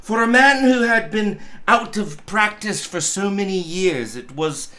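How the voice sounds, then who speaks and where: A man narrates a classic storybook tale with theatrical emphasis. His voice is fairly high and bright, and he takes his time over the phrases with lots of melodic movement. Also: expressive, a little slow. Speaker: pastor reading a story aloud.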